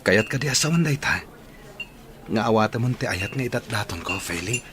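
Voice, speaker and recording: An actor with an Ilocano accent playing a tender, devoted young suitor in a radio drama, coaxing and earnest. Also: male voice, fairly low pitch, expressive, a little fast, noisy background, close to an everyday voice. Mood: earnest, pleading.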